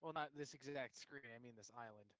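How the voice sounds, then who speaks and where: A man's voice: medium pitch, a little fast, fairly bright tone, no echo, highs cut off. A man bantering casually as he advises a friend playing a game. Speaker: co-host advising the player. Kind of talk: casual let's play banter.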